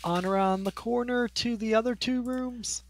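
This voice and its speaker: A game master narrating scenes and outcomes in a tabletop role-playing game, describing rooms in an unhurried, descriptive way. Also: medium pitch, fairly even intonation.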